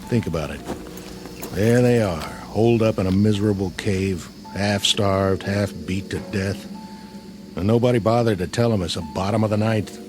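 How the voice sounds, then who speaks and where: An American actor playing a weary, cynical platoon sergeant in a radio drama, dry and colloquial. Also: male voice, fairly low pitch, normal speed, music in the background, close to an everyday voice.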